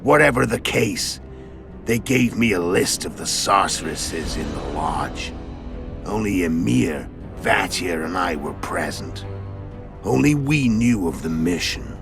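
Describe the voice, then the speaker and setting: An actor playing a world-weary, cunning male assassin in a game cutscene, gravelly and measured, recounting things with dry irony. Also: lively intonation, full character voice. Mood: matter-of-fact, confiding. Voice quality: very deep, gravelly. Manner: measured, matter-of-fact storytelling with dry irony. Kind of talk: video game cutscene acting. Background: background music.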